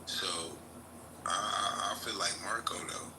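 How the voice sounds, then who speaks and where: Very deep-voiced man in his thirties. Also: steady tone, unhurried. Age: 30s. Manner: loose, emphatic, swearing freely. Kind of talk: casual chat. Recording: very noisy online audio.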